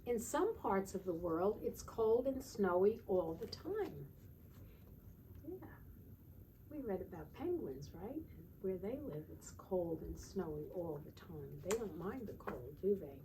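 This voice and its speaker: Mellow woman reading storytime to young children. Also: lowish voice, expressive, a little slow, slight background noise, rough recording. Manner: sing-song, frequent tag questions to young listeners. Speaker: children's teacher and storytime reader. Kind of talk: storytime read-aloud with simple asides to young children.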